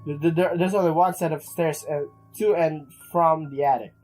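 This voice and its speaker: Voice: mid-range voice, steady tone, moderate pace, a little mellow, low-quality recording. Dramatic, stumbling let's player over music. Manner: stumbling, dramatic read-aloud. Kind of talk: reading a visual novel aloud with reactions. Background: game music.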